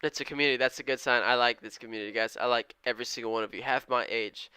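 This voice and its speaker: A man's voice: mid-range voice, fairly even intonation, rapid-fire, pushed and bright, smooth, no room sound. A man in his twenties chatting casually on a live trading stream, reading out viewer comments and thanking people in an upbeat, slangy way. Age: 20s. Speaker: live-stream day trader.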